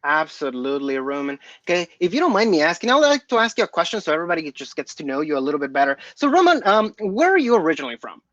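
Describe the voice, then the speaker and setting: A man's voice: fairly high pitch, big pitch swings, fast talker, few pauses, a bit bright, no room sound, dull audio. A man co-hosting an online developer event over a video call, friendly, with a frequent "okay" and "absolutely". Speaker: co-host. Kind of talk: event hosting, housekeeping announcements.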